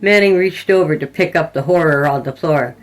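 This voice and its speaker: A woman hosting a fiction podcast and reading a scripted horror story in a deliberate, descriptive way. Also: low voice, soft background music, poor audio. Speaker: podcast host and story narrator.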